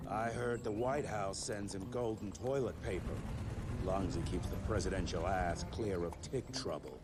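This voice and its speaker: Brief: a sardonic older hunter about to retire, low voice, loud ambient noise behind. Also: male voice, unhurried. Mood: wry. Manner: dry, sardonic. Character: grizzled, wry veteran male hunter near retirement. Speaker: retiring monster hunter agent.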